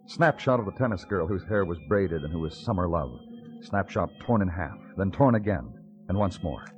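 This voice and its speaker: An actor playing a weary New York police detective in a noir radio crime drama, terse and brooding. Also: male voice, low voice, a little mellow. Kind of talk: 1950s radio crime acting, poetic noir narration. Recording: muffled old radio recording. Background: music.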